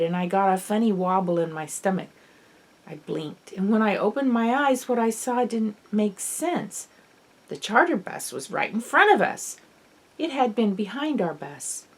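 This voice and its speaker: A woman author reading her own children's novel aloud in the first person, as expressive, dramatic storytelling. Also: on the low side. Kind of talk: audiobook narration of children's fiction, first person.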